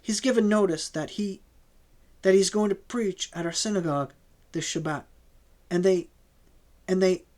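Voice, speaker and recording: A woman's voice: mid-range voice, moderate pace, pauses often, pitched above the performer's own voice, lightly acted. A man voicing a tearful, desperate young woman. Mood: tearful, pleading. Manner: sobbing, stammering pleas.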